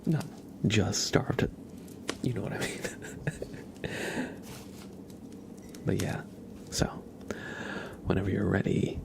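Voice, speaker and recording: Casting: ASMR stream host, sex open, low creaky whisper, gentle and courteous, close on the mic. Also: steady tone. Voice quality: breathy whisper with creaky undertone. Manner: slow, gentle, polite, thanking and welcoming viewers. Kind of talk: ASMR, whispered live chat with viewers. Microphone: close sensitive ASMR microphone.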